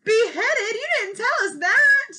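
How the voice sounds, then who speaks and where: A woman's voice: very high voice, expressive, slow, pushed and bright, pitched above the performer's own voice, full character voice. A woman voicing a plucky, exasperated young princess in a children's storybook, protesting with emphatic, raised delivery. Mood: indignant, pleading.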